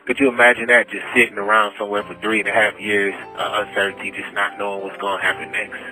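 American male, pleading and emphatic, bright insistent voice on a phone line with music behind. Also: fairly low pitch, little pitch movement, quick pace. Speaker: prisoner telling his story. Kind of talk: impassioned personal plea over the phone. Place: prison phone call.

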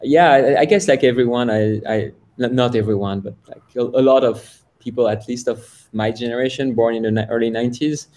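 A man's voice: medium pitch, steady tone, fairly quick, few pauses, a little mellow. A man in his thirties from France, a guest on a video call, telling his story in a casual, hesitant way with many fillers and restarts. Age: 30s. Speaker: guest, software founder. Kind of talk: casual interview talk.

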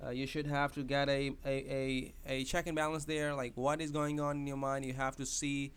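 A man's voice: mid-range voice, level tone, moderate pace, clean tone. A man who speaks English as a second language, hosting a podcast and giving advice in a hesitant way, with many fillers and restarts. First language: not English. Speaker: podcast host. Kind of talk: casual spoken advice monologue.

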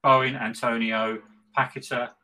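A man's voice: mid-range voice, flat delivery, moderate pace, pushed and bright. A middle-aged man chatting casually about football as a podcast co-host, gleeful and tongue-in-cheek, repeating himself for emphasis. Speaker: football podcast co-host and West Ham fan.

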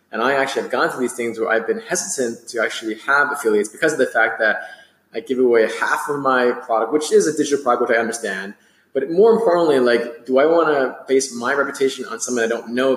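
A man hosting a podcast, enthusiastic and asking long, winding questions. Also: mid-range voice. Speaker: podcast host. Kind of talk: podcast interview. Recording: roomy echo.

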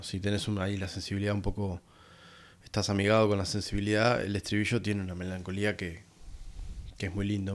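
Laid-back rambling man. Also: low-pitched. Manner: relaxed and rambling, many pauses to find words. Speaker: podcast host reviewing an album. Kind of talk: casual solo review, unscripted.

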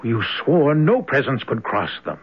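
Husky, cocky young man. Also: mid-range voice, very animated, measured pace. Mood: defensive. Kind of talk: old-time radio drama acting. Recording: muffled old radio broadcast recording.

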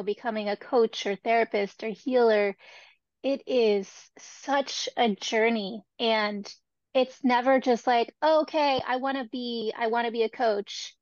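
A woman's voice: fairly high pitch, no room sound. A woman hosting a podcast interview, speaking in an earnest, reflective way. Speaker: podcast host. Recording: dry, dull lo-fi sound.

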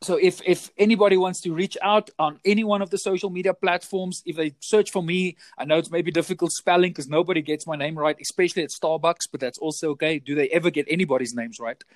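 South african guy, afrikaans first language, chatty podcast guest, kinda high pitched, no room sound. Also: fairly even intonation, fast talker, hardly any pauses, dry recording. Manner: chatty, repeats words and restarts often. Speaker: guest, teacher trainer. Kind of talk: casual podcast interview.

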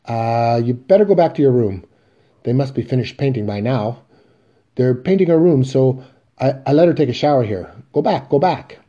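A man's voice: on the low side, lively intonation, a little slow, warm tone, breathy edge, muffled recording. A man voicing an anxious middle-aged salesman and father in a play reading, blustering and flustered.

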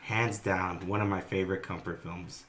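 A man co-hosting a casual podcast, telling animated family stories with plenty of swearing. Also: sits low, normal speed. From Greek-American family. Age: adult. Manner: animated, profane storytelling, swings between loud and quiet. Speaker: podcast co-host. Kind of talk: casual chat.

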